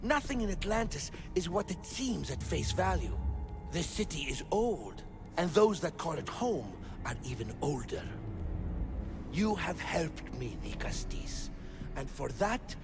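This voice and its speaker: An actor playing a proud, aggrieved heir in a video game, solemn, measured and formal. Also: male voice, fairly high pitch, very animated, over music. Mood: cool, measured. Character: proud, aggrieved male heir to a mythical city's rule. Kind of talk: video game cutscene acting.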